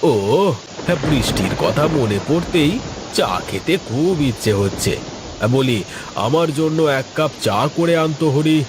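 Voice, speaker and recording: A man's voice: on the high side, animated. A man voicing a demanding, impatient household master in a cartoon, bossy and exclamatory. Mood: craving. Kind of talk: Bengali cartoon voice acting. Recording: noticeable rushing rain-like ambient noise.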